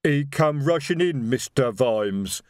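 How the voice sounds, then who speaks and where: A man voicing a huge, slow-witted male troll sergeant with a rumbling, plodding delivery. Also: lively intonation, a little mellow, dry recording, clean studio sound.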